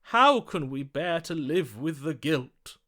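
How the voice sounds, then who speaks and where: A slow, lovestruck gallant hero. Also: male voice, on the high side, lively intonation, bright, forceful tone, full character voice. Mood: fervent, pleading. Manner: florid, swooning, dramatic delivery. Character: lovestruck, gallant romantic hero, grandly melodramatic, tender. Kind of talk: theatrical video game voice acting.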